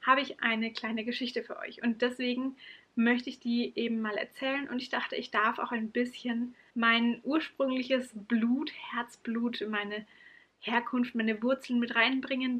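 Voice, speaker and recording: Young woman from Franconia, lightly accented. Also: fairly high pitch. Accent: light Franconian German. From Franconia, Germany. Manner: warm, earnest, stresses words by repeating them. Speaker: vlogger and occupational therapist. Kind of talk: casual storytelling to camera. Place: small room in front of bookshelves, indoors. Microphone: camera mic, close framing.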